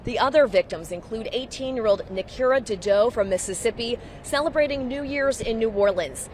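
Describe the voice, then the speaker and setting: A woman's voice: on the high side, normal speed, darkish tone. A woman working as a TV news reporter, delivering a live field report outdoors on a city street. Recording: outdoor street noise, traffic.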